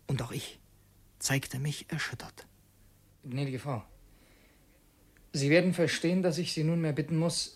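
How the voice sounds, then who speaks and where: An actor playing a cunning infiltrator posing as a loyal secretary, in a measured, formal, husky radio drama style. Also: male voice, on the high side, a little mellow. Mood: controlled, formal. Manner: measured, brooding diary narration, formally courteous to employers. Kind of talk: German radio drama acting.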